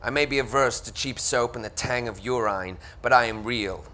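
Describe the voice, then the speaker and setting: A man's voice: medium pitch, moderate pace, pushed and bright, voice pushed down, close to an everyday voice. A man voicing a camp, witty male literary critic with an arch, theatrical drawl.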